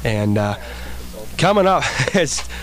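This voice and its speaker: A man's voice: medium pitch, sing-song, takes it slowly. Joking young male radio co-host. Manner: joking, babbling, teasing. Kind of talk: casual college radio banter. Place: radio studio. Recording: old radio aircheck with faint hiss.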